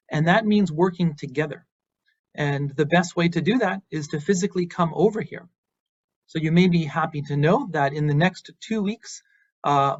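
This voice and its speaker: Guy giving an online lecture, bit high voice, earnest and encouraging, kinda muffled audio. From Boston, United States. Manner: earnest and encouraging, with some fillers. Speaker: university lecturer.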